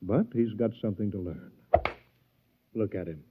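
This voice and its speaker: A man's voice: on the low side, moderate pace, close to an everyday voice. A man playing a smug, needling rival in a 1950s radio drama, taunting and mocking as he draws out his words. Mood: boastful. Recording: very muffled, faintly noisy 1950s radio recording.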